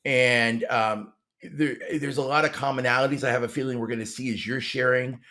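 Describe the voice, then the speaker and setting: A man with a medium-pitched voice speaks as a podcast host. His delivery is conversational and a little rambling, with hesitant restarts and repeated words as he interviews. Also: fairly even intonation, brisk, bright, forceful tone.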